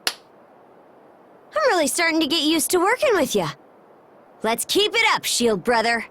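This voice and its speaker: A woman's voice: high-pitched, sing-song, unhurried, fairly bright tone, full character voice. An actress playing a brash, confident young seafaring warrior woman in a video game, with a breezy, cocky, slangy way of talking. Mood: upbeat, confident. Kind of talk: video game cutscene acting.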